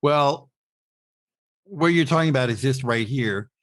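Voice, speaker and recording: A man giving a technical webinar explanation as an instructor, in a halting, stop-start way with repeated words. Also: medium pitch, fairly bright tone. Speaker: instructor presenting at an online summit.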